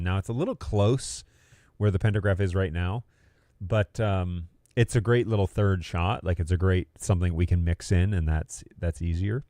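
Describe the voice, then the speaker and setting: A man's voice: low voice, a little mellow, no room sound, clean studio sound. A man hosting a casual livestream, rambling and thinking aloud as he talks through tech. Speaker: livestream host. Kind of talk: casual livestream chat about video production gear.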